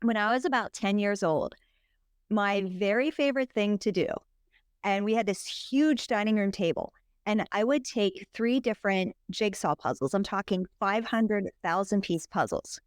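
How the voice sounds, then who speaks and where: A woman's voice: mid-range voice, moderate pace, smooth, dry recording, clean studio sound. A woman guest on a podcast, telling her story in a bubbly, chatty way and laughing often. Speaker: podcast guest, health coach. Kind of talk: casual interview chat.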